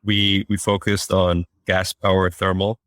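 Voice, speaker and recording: A man's voice: low-pitched, level tone, measured pace, fairly bright tone, no echo, clean studio sound. A man from Costa Rica, a startup founder, answering interview questions hesitantly and repeating words. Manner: hesitant, repeats words before finishing thoughts.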